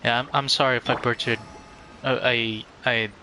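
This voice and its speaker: A man hosting a live game stream, chatting casually and politely with viewers in a halting way, searching for words. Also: mid-range voice, little pitch movement, sharp tone, faint music behind.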